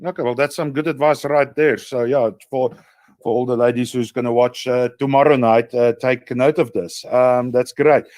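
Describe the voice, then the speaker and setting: A man's voice: mid-range voice, fairly even intonation, normal speed, a little mellow, no echo. A man co-hosting an interview, telling rambling stories with hesitant fillers. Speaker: co-host. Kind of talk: casual interview chat.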